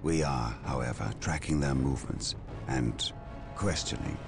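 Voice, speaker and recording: A very deep, grave warrior-priest. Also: male voice, measured pace, vocal fry, music in the background, exaggerated voice. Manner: calm, grave, counselling. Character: calm, wise older male battle-priest advisor. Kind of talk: video game cutscene voice acting. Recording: voice over orchestral game score.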